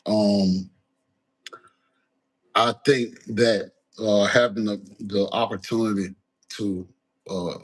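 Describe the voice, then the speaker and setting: A rapper, talking in a casual interview, halting with many fillers and restarts. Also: male voice, fairly even intonation, husky, low-quality recording. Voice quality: deep voice. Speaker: guest, rapper.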